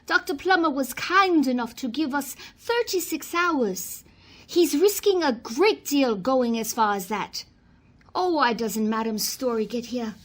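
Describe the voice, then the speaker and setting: A woman's voice: high-pitched, expressive, unhurried. A woman acting a haughty, anxious society lady in a theatrical radio play, dramatic and imperious. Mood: impatient.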